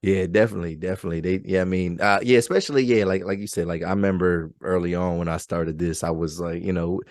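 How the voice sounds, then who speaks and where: A man in his thirties with a somewhat low voice, recorded fairly dry. He hosts in a loose, conversational style. His speech is slangy and affirming, full of little check-ins with the person he is talking to. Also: fairly even intonation, fast, darkish tone, no echo. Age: 30s. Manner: slangy, affirming, repeats "you know what I mean". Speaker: podcast host. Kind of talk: casual interview chat.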